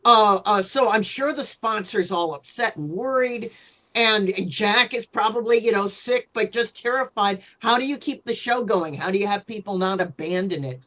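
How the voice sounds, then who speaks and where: A woman explaining things as an expert panelist in a casual discussion, thoughtful and somewhat halting, on slightly lo-fi audio. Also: medium pitch, a bit bright. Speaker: panelist and author.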